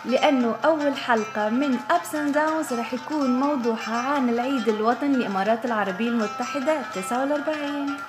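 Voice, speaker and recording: A woman hosting a show, cheerful and quick, with music behind her. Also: high voice. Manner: cheerful, rapid delivery. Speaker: show host. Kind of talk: upbeat show hosting in Arabic.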